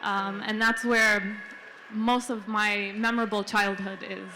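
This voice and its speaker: A young adult woman, born in the Philippines and raised in several countries, answering thoughtfully on a panel with many "um" pauses. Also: medium pitch, flat delivery, background noise. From Philippines-born, raised in Jordan, Kenya, India. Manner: reflective, frequent um fillers. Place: book fair panel stage.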